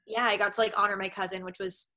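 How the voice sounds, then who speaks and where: A woman's voice: medium pitch, flat delivery, fast, bright, forceful tone, a little hiss or hum. A young woman from Massachusetts with a Boston accent, chatting casually as an interview guest from home, rambling with lots of "like" and "um". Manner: rambling, heavy use of "like" and "um". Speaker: actress guest.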